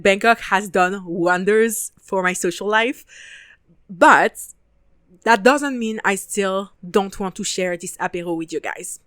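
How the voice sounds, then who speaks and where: A woman's voice: medium pitch, a bit bright, clear, resonant tone, no echo, clean studio sound. A talkative French woman in her twenties, hosting her own podcast in English with a French accent and native French, swearing casually as she chats. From France (Paris). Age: 20s. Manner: chatty and talkative, casual swearing, frequent laughter. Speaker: podcast host. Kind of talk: casual solo podcast monologue.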